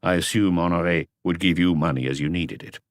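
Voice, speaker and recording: A man playing a patient male police inspector who asks measured, pressing questions in an audiobook. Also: low voice, dry recording, studio quality. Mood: patient, probing. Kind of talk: audiobook character voice.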